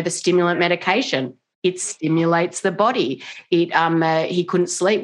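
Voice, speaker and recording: Australian mum in her middle years, a touch low-voiced, explaining things earnestly in a casual interview. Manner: earnest, animated, many "you know" fillers. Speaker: guest, mother and nutrition coach. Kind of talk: interview conversation.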